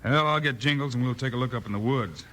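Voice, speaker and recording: An actor playing a calm, resolute lawman hero in a 1950s radio western. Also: medium pitch, quick pace, lightly acted. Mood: businesslike. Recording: faintly noisy old radio recording.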